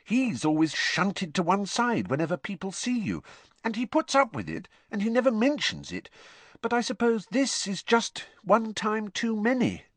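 A man's voice: sits high, wildly swooping pitch, normal speed, fairly bright tone, no echo, voice pushed up, exaggerated voice. A man reading an audiobook, voicing an earnest, worried teenage girl who is bossy and sensible. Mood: patient.

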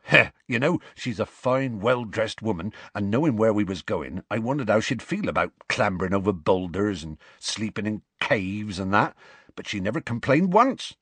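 A man voicing a huge, gruff half-giant groundskeeper who talks in a rustic dialect. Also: lowish voice, expressive, moderate pace, fairly bright tone, dry recording. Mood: storytelling.

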